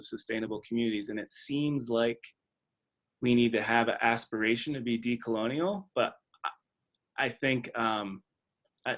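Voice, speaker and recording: A man hosting an online webinar discussion, speaking in a thoughtful, tentative and halting academic manner. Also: mid-range voice, fairly bright tone. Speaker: webinar host and facilitator. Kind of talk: hesitant, thoughtful facilitated discussion. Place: online video call.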